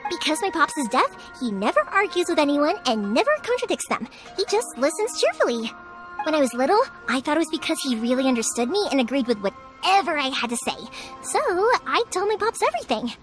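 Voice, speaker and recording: A woman playing a cheerful, energetic young fireworks maker in a video game, chatty, emphatic and protective. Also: high voice, brisk. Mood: bright, chatty. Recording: music under the voice.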